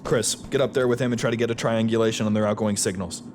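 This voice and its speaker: A man's voice: fairly low pitch, steady tone, fast, background noise, lightly acted. A man playing a battle-scarred, defiant sergeant in a sci-fi audio drama, with a dry, firm manner. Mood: commanding. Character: battle-scarred male space marine sergeant, defiant, commanding. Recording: music behind the voice.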